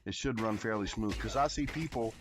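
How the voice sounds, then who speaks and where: A man with a deep voice, casually chatting to viewers as a livestreamer in an easygoing, rambling way. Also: a little fast. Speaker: game livestreamer. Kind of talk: casual chat with stream viewers. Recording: music behind. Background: clear background music.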